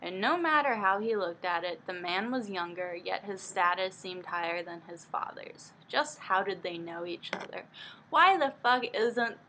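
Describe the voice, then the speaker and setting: A woman with a bright, forward voice reads a book aloud as if it were high drama. Her manner is mocking and exasperated, and she is free with swearing. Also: moderate pace, slight background noise. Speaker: host reading a book aloud. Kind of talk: mock-dramatic reading with blunt asides.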